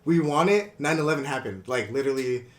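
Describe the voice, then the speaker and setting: A man's voice: medium pitch, fairly quick. Young man from Indonesia, rambling casually, echoey room. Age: 20s. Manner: relaxed, rambling, many fillers like "you know what I mean". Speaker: guest, brand co-founder. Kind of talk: casual podcast interview.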